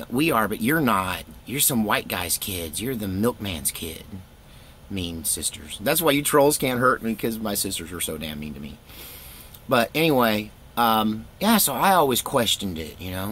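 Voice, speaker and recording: Low-voiced middle-aged man, laid-back storyteller. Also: fairly bright tone, slight background noise. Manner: laid-back, wry, self-deprecating. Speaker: storytelling vlogger, former youth pastor. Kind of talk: casual rambling personal storytelling.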